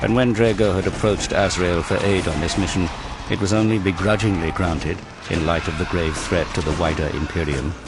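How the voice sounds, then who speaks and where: A man narrating a scripted audio drama in a grave, measured storytelling manner. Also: low voice, fairly even intonation, background noise. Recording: loud cinematic music behind the voice.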